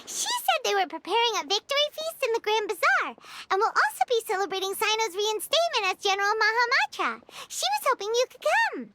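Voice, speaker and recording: A woman voicing a tiny childlike fairy companion in an English anime game dub, with a squeaky, excitable, exclamatory delivery. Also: a little fast, few pauses, very noisy. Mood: chirpy, eager. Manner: exclamatory, speaks of self in third person.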